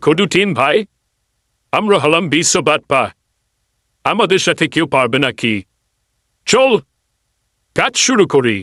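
Man playing a high-pitched, bossy cartoon swindler. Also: a bit bright, no room sound, studio quality, heavily acted. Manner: bossy, condescending. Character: sly, scornful, boastful male ringleader of swindlers. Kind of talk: cartoon dubbing.

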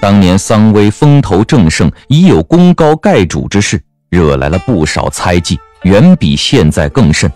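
Male narrator, very low voice, animated intonation, scripted audiobook storytelling, soft music behind. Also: measured pace, hardly any pauses. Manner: expressive storytelling.

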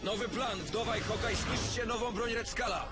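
Superhero team leader, animated dub: high-pitched, earnest, urgent orders, heavy music bed. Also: male voice, normal speed. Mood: commanding, urgent. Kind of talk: dubbed animated superhero series acting. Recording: very loud action music behind.

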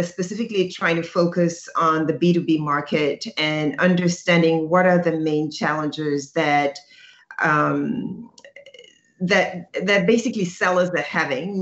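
A woman in her thirties from Senegal explaining as a panelist on a video call, halting and pausing often. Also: deep voice. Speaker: panelist, tech entrepreneur. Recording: echoey, dull video call audio.

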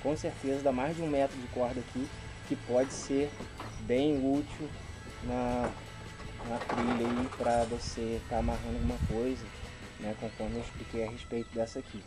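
A man's voice: mid-range voice, flat delivery, normal speed, music in the background, low-quality recording. A man in his thirties with a Brazilian accent, chatting informally and slangily to camera as he unboxes things outdoors, recorded from a little way off. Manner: informal, slangy, exclaiming appreciatively. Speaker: YouTube vlogger. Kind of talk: casual unboxing chat to camera. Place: outdoors in a rural garden. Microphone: camera a couple of meters away.

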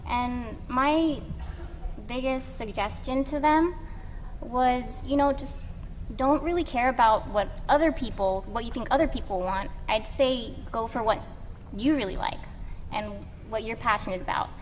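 A woman's voice: on the high side, unhurried. A young woman speaking casually as a comic artist on a convention panel. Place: convention panel stage before an audience. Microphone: table microphone on stand. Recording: reverberant hall, faint noise.

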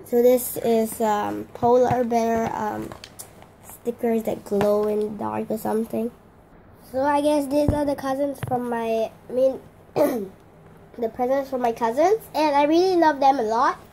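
A teenage girl with a hoarse voice, chatting casually through an unboxing vlog with lots of fillers and restarts. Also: a little high, measured pace, warm tone, a little hiss or hum, low-quality recording. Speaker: vlogger.

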